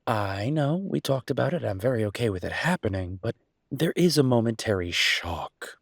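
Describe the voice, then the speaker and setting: A man playing an affectionate, slightly grumbling chef boyfriend in an intimate audio roleplay, with a husky voice and a casual, teasing, halting way of talking. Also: mid-range voice, animated, dry recording, studio quality. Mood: tender, reassuring.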